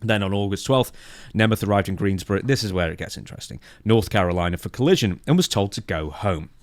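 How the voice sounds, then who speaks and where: A man with a fairly low voice co-hosts a wrestling podcast. He reads through news notes and comments on them conversationally. The sound is dry, with hardly any room in it. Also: expressive, quick pace, no echo. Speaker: podcast co-host. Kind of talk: reading out a wrestling news timeline and discussing it.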